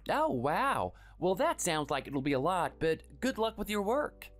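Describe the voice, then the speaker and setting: Someone doing a shy sickly guy in his 30s, soft and pleading, voice rising and falling a lot, faint music under it. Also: high-pitched, sing-song, measured pace, full character voice. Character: meek sickly young husband, timid and apologetic.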